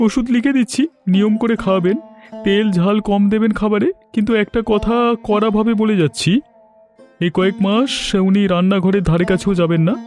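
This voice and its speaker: A man voicing a stern but reassuring village doctor in an animated story. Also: high voice, fairly quick, faint music behind, close to an everyday voice. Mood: firm. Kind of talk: animated family story dialogue.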